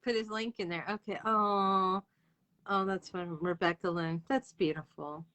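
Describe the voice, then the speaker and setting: A woman's voice: medium pitch, fairly even intonation, unhurried, a crowd in the background. A woman in her forties hosting a casual interview, with an informal, reactive way of talking. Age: 40s.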